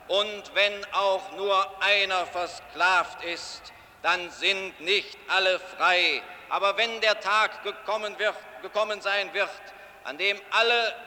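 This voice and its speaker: A man interpreting a speech live, measured and slightly halting as he corrects himself, in a reverberant archival recording with faint noise. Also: sits high, sharp tone.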